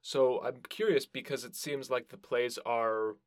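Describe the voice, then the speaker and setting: A man's voice: mid-range voice, steady tone, a little slow, no room sound, studio quality. A man hosting an interview podcast, chatting casually in informal, loosely phrased sentences.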